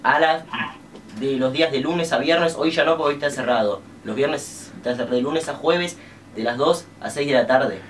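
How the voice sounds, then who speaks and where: A man's voice: mid-range voice, moderate pace. A young man with an Argentine accent making a casual prank phone call as a prize announcer, recorded in a bedroom. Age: young adult. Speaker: prank caller. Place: small bedroom. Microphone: camera across the room. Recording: distant camera mic, faint room noise.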